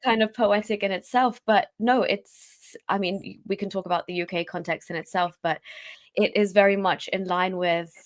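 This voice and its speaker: A woman's voice: medium pitch, fairly even intonation, normal speed, dry recording. Friendly woman guest, dry close sound. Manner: friendly, rambling, thinking aloud with fillers. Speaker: guest, YouTuber. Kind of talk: casual chat, interview answers.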